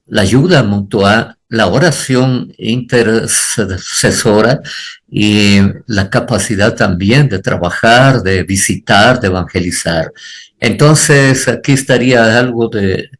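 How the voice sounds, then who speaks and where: An older pastor speaks in a lowish voice. He answers earnestly and circles back, repeating phrases to press a point home. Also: fairly bright tone. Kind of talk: panel discussion answers.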